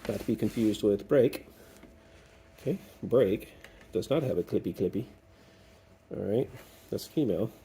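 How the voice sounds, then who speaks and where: A man's voice: lowish voice, animated, unhurried, pauses often, warm tone, slight background noise. Chatty man talking while he works. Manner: chatty, thinks aloud while working; playful repetition of words. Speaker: DIY video host. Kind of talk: casual hands-on repair walkthrough. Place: workshop or garage, cold day.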